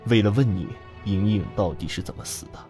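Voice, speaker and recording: A man's voice: on the low side, brisk, dark tone, faint music behind, lightly acted. A man voicing a grieving middle-aged farmer turned killer, terse, menacing and insistent, in an audiobook story. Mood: bitter.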